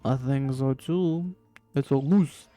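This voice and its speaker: A man's voice: mid-range voice, slow pace, warm tone, faint music behind. A man doing casual, playful reaction commentary on a game, sometimes reading the on-screen text aloud. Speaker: gamer doing a playthrough.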